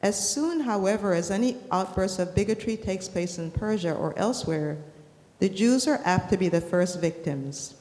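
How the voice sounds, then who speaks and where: A woman's voice: mid-range voice, animated, normal speed, darkish tone. A woman reading aloud from a formal historical book as a participant in a class. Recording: echoey hall, long reverb.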